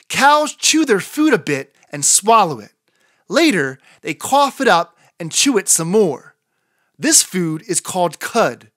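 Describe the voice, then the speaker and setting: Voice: medium pitch, fairly bright tone, dry recording, studio quality. A narrator reading a children's picture book aloud in a careful storytelling manner for young listeners.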